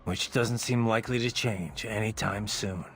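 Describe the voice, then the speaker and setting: A man's voice: on the low side, moderate pace, a bit bright. An earnest cyborg swordsman over music. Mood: reflective, conversational. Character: battle-hardened male cyborg swordsman, earnest, philosophical. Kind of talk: video game voice acting.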